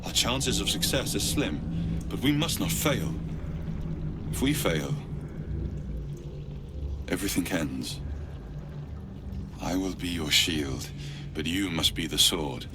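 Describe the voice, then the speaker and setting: A man's voice: low-pitched, animated, slow, long pauses, noisy background. A man playing a grave, learned guardian in a video game, speaking formally and solemnly. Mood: urgent, resolute. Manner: formal, solemn, portentous delivery. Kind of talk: dramatic video game dialogue.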